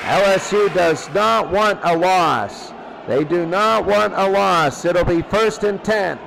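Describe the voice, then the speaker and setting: A man with a high voice gives live play-by-play of a football game in short, clipped calls, often repeating himself. The radio broadcast recording has clear noise running under his voice. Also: slow. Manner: repeats phrases, clipped present-tense calls. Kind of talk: live radio sports commentary.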